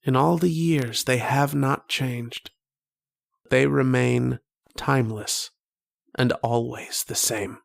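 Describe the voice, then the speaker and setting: A man playing a haunted, wistful narrator of a recurring dream in a radio drama, speaking poetically with long pauses. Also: mid-range voice, fairly even intonation, creaky, dry recording, studio quality. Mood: weary, bewildered. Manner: slow, poetic, brooding delivery with long pauses. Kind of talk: dramatic first-person radio horror narration.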